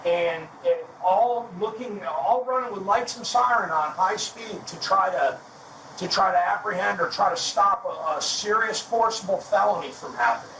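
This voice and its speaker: Blunt, high-voiced male officer, echoey bodycam. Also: animated, normal speed, bright, forceful tone. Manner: blunt, scolding. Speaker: police officer. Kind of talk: stern on-scene lecture. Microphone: police bodycam. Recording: echoey, noisy distant bodycam audio.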